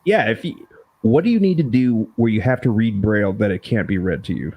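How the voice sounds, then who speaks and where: Animated, slightly husky man bantering. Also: fairly low pitch, brisk, lo-fi sound. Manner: crude, joking, swears freely. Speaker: podcast co-host. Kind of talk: casual bantering chat.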